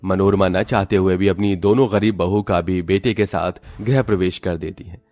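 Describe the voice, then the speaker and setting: A man's voice: low-pitched, fairly even intonation, normal speed, darkish tone, no echo. A man reading scripted narration as the storyteller of a cartoon moral tale.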